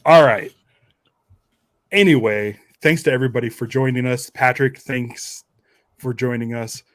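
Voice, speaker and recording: A man's voice: mid-range voice, unhurried, a bit bright. A man co-hosting a podcast, chatting casually with an informal, dryly joking manner. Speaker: podcast co-host. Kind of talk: casual chat.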